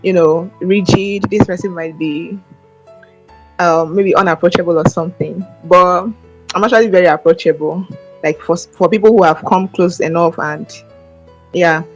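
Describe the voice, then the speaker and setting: A young Nigerian woman from Ebonyi State answering interview questions politely and softly, with hesitant restarts. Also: on the low side, steady tone, normal speed, soft background music, low-quality recording. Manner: polite, soft-spoken, hesitant with fillers and restarts. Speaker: guest.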